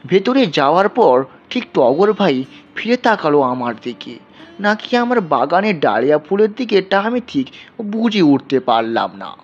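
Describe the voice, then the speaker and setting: Slow, expressive man narrating a story over music. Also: a little high, husky. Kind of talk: scripted dramatic story narration. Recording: dull, lo-fi sound.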